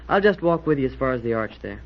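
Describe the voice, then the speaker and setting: An actor playing a loyal, talkative schoolboy chum in 1940s radio style, frank, concerned and boyishly slangy. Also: male voice, mid-range voice, expressive, quick pace, creaky. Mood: frank, supportive. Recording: noisy, dull old radio recording.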